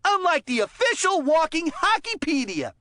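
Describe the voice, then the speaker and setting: High-pitched, excitable kid hockey commentator. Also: female voice, normal speed, dry recording, studio quality, full character voice. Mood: cheerful, joking. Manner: chirpy, folksy, giggly. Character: excitable, clumsy, good-natured child hockey commentator. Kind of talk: cartoon video game sports commentary.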